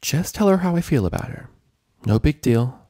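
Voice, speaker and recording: Guy doing a nervous nerdy-earnest girl pony, higher voice, fretting, storybook cartoon. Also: big pitch swings, moderate pace, warm tone, no echo, clean studio sound. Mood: anxious. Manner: anxious, self-doubting.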